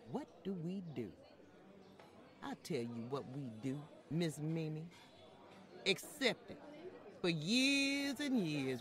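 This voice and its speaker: Slow, expressive, outspoken maid, acted by a woman. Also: fairly low pitch. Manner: folksy, plainspoken, with dramatic pauses. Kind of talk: old-time radio style dramatic monologue storytelling.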